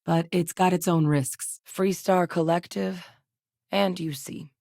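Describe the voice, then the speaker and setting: An actress voicing a seasoned, determined female military xenobiologist in a video game, speaking in a measured, earnest, matter-of-fact way. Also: fairly low pitch, fairly even intonation, vocal fry, darkish tone, dry recording, clean studio sound. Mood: grave, focused. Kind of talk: scripted game dialogue.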